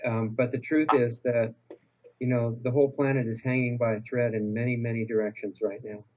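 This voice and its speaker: Guy on a call-in radio show, husky dark voice, earnest and encouraging. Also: medium pitch, little pitch movement, brisk, rough recording. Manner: earnest, encouraging, restarts sentences. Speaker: guest health researcher.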